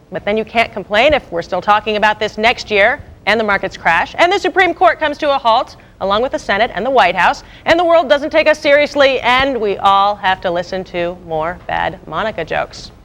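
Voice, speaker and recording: News anchor, American woman, higher voice, punchy and wry, scripted with irreverent bite. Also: a bit bright. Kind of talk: scripted local TV news narration.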